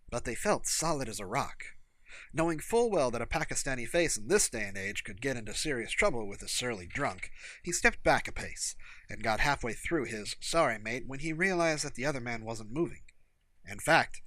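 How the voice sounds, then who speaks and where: A man's voice: medium pitch, pushed and bright, no echo. A man reading scripted fiction as an audiobook narrator.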